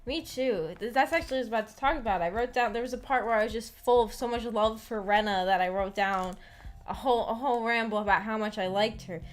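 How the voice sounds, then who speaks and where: A woman in a casual, rambling discussion as a streamer, animated and giggly, often hesitantly thinking aloud. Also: on the high side, fast talker. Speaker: streamer hosting a visual novel book club discussion. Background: background music.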